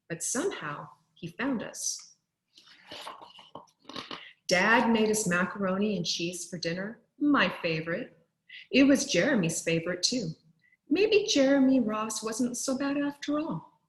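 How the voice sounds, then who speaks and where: Lady reading a kids book out loud, mid pitch voice, teacher style, roomy echo. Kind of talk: expressive storybook read-aloud. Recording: roomy, echoing sound.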